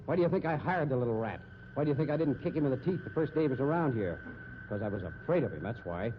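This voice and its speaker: An actor playing a tough, slangy, commanding sideshow magician and boss in a 1950s radio drama. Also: male voice, medium pitch, animated, fast talker, natural voice. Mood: hard, urgent. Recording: muffled, noisy 1950s radio recording.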